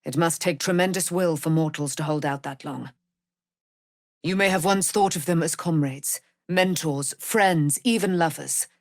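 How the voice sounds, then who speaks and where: A woman's voice: low voice, unhurried, no room sound, studio quality. An actress voicing a proud, vengeful immortal warrior woman in a fantasy game, with grand, archaic, declamatory delivery. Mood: haughty, measured. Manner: declamatory, archaic, grand, speaks of herself in third person. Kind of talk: dramatic fantasy game acting.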